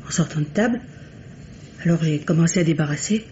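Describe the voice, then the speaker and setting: A woman's voice: low-pitched, a little fast, warm tone, natural voice. A nervous female household maid played by a radio actress speaks in a hesitant, deferential, colloquial way. It is old French mystery radio drama. The recording is dull and lightly noisy. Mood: guarded, deferential. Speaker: radio actor.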